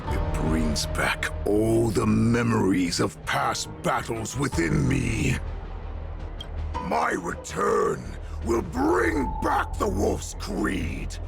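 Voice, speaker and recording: An actor playing a savage, menacing wolf warlord with a gravelly growl and grandiose delivery, in a video game. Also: male voice, medium pitch, sing-song, extremely slow, full character voice. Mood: brooding, defiant. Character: savage wolf warlord rallying his pack to bloodshed. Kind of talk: dramatic video game villain acting. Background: music.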